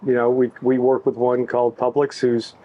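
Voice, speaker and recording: A middle-aged man talking about his work in an easygoing, halting way, with many restarts. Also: mid-range voice, little pitch movement, mellow, muffled recording. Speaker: tech executive, interviewee. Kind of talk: casual backstage interview.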